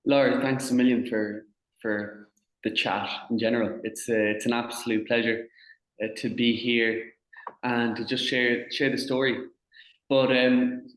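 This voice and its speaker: A man's voice: mid-range voice, roomy sound, low-quality recording. A young man from Ireland, a guest in a casual interview, who talks in a chatty way and often restarts and repeats words.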